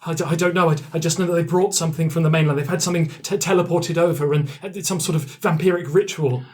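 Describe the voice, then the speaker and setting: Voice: medium pitch, steady tone, fast talker, voice pushed up, natural voice. Game master playing a breathless, urgent captive mermaid scout. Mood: anxious urgency. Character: frightened captive merfolk scout, female, green-skinned, urgent and pleading.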